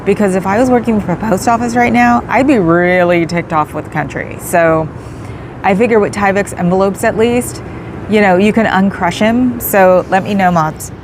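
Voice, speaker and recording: A woman's voice: medium pitch, moderate pace, background noise. A woman chatting casually on a livestream, thanking viewers by name and laughing often. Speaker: livestream host. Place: in a parked car outdoors.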